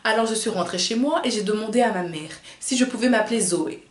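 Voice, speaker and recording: The recording is roomy, with clear echo around the voice. A woman with a mid-range voice presents as the host of a video. She narrates from prepared text and reads quotations aloud. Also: mid-range voice, fairly quick. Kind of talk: scripted narration with read-out quotes. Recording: roomy, echoing sound.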